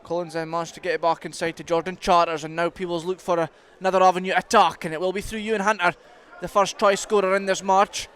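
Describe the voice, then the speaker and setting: High-voiced Scottish male rugby commentator. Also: little pitch movement, fast, hardly any pauses, a bit bright. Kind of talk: live play-by-play sports commentary. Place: commentary box at a rugby ground. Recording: outdoor ground with clear background noise.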